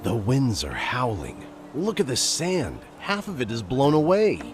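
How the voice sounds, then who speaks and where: A man's voice: mid-range voice, wildly swooping pitch, unhurried, faint music behind. A man playing a desert city townsman gossiping at the stables. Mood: animated, matter-of-fact.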